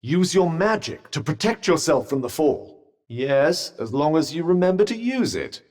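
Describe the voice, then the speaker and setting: An actor voicing a sentient magical vambrace in a video game, a wry, haughty, cautious companion with a British accent and dry, sardonic, formal understatement. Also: male voice, a little high, very animated, normal speed, darkish tone. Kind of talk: video game character dialogue. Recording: long reverberant echo on the voice.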